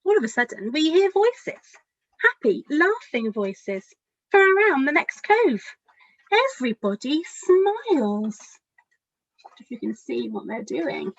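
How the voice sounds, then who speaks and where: A woman with a fairly high voice reads a picture book for young children. She is expressive and speaks straight to her listeners as a storytime author would. The sound is dry and a little dull. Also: a little slow, creaky, no room sound. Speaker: children's author reading aloud.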